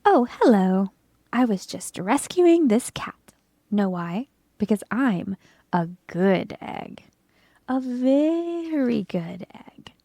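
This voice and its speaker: A woman voicing an earnest, dutiful male egg telling his own story in a children's storybook, often breathy. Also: a little high, sing-song, slow pace, mellow, no room sound, heavily acted. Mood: earnest, proud. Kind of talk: children's storybook first-person narration.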